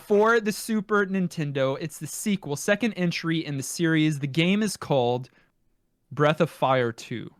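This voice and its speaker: Man, podcast host, higher-pitched voice, casual delivery, reading comments aloud, very dry close sound. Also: a little slow, no echo.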